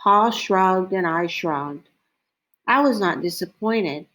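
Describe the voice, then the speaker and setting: Very slow-reading woman storyteller. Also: on the low side, expressive, fairly bright tone, low-quality recording. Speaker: reader of a children's picture book. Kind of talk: read-aloud storytelling, slow and deliberate.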